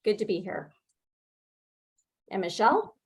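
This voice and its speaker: A woman, the president of a nonprofit, introducing herself in a friendly, relaxed way on a video call. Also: medium pitch, measured pace, husky.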